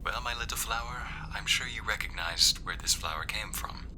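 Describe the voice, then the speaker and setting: Male actor as affectionate, teasing waiter boyfriend for ASMR roleplay; low, hushed, breathy delivery, noticeable ambient noise. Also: moderate pace, close to an everyday voice. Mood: tender, nostalgic. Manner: soft, breathy, near-whispered, affectionate. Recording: clearly audible background noise, ambience.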